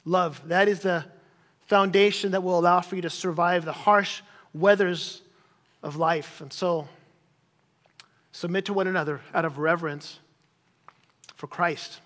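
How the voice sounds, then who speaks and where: A middle-aged male pastor delivers a sermon in a noticeably high voice. His delivery is conversational yet emphatic, often restating a phrase to make it stick. The long reverb of a large hall trails his words. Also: fairly even intonation, unhurried, long pauses, a bit bright. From grew up in Chile as a missionary kid.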